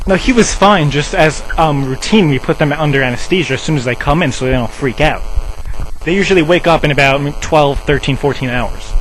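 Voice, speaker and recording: A man's voice: brisk, natural voice. A man playing an officious, defensive drug treatment center staffer in a rambling, insistent improvised prank phone call. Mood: defensive, testy. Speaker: prank caller. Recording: noisy low-quality cell phone call, hum.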